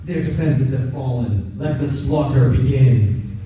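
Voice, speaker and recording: A man's voice: medium pitch, unhurried, lightly acted. A man playing a ruthless, overpowering alien warlord king in a live radio play, barking commands with menace. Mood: menacing, commanding. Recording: echoey noisy convention hall, distant mic.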